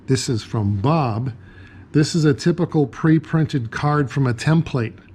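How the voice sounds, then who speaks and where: A man's voice: medium pitch, darkish tone. A man narrating an explanatory show-and-tell as a ham radio hobbyist.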